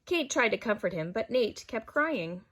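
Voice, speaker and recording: A woman reading a children's picture book aloud in an expressive, animated way, as a storyteller. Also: fairly high pitch, normal speed. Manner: animated, acts out character dialogue. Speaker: storybook reader.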